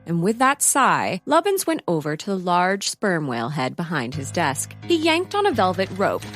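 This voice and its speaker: Animated low-pitched woman narrator. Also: moderate pace. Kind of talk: dramatic scripted narration. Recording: music under the narration. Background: music.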